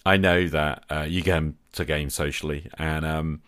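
A man's voice: very deep voice, steady tone, measured pace, a bit bright, dry recording, clean studio sound. A man hosting a podcast, talking conversationally in an earnest, reflective manner. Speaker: podcast host.

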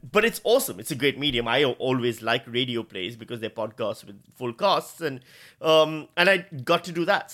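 Male podcast guest from Pakistan. Animated comic chat, sharp bright tone, mid pitch, happy to swear. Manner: animated, profane, joking. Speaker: guest writer on a comedy podcast. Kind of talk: casual comic banter and anecdotes.

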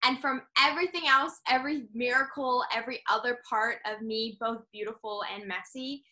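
Bright, excited young woman from New Zealand. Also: fairly high pitch, normal speed, poor audio. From born in England, raised in New Zealand. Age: young adult. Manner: enthusiastic, laughs often, fillers like "like". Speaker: podcast guest. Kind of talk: casual conversational interview.

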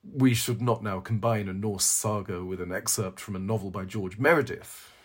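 A man reading a dry, challenging literary critic in a novel, pointed and superior, with a husky voice. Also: fairly low pitch, moderate pace.

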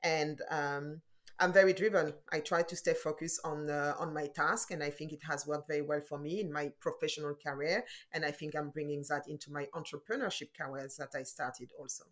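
A woman in her forties with a French accent, talking through her answers as a guest in a casual interview, often pausing on fillers and restarting. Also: deep voice, fairly even intonation. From France. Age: 40s. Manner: thoughtful, with frequent fillers and restarts. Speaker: guest entrepreneur.

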